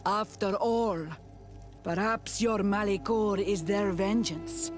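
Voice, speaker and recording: An actress playing a proud, wary island healer in a game, speaking solemnly and formally with bitterness. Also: female voice, mid-range voice, slow, natural voice. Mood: reverent, stern. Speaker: non-player character. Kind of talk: scripted game dialogue. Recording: game music behind the voice.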